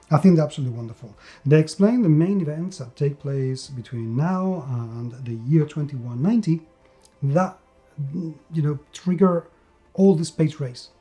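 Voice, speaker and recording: A man with a fairly high yet very mellow, muted voice talks through an unscripted game review. He is enthusiastic and emphatic, often doubling words for stress. Faint music plays behind him.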